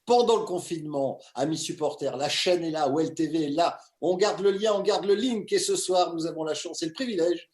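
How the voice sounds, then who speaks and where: Animated male presenter in echoey room. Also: fairly high pitch, a little fast, hardly any pauses. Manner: lively, emphatic presenter with loud greetings. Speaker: host. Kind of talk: casual video-call interview. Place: his home.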